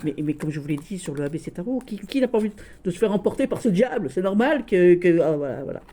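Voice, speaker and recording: A woman with a Lorraine accent, showing tarot decks in a casual video, gushing and halting with many restarts. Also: lowish voice, animated, warm tone. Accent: Lorraine French. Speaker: tarot deck reviewer. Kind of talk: casual tarot deck showcase.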